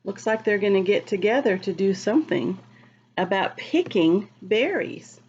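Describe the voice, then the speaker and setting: A woman reading a picture book aloud to children in a teacherly, expressive, sing-song way, explaining and asking questions as she goes. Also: medium pitch, normal speed, muffled recording, a little hiss or hum. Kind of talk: read-aloud storytime with asides to children.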